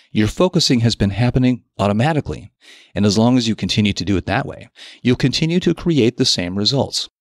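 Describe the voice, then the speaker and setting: A man narrates a self-help audio article in a very lively, varied intonation at a medium pitch. He phrases carefully and stresses key points like an instructor. The recording is dry and close, as in a studio. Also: no room sound.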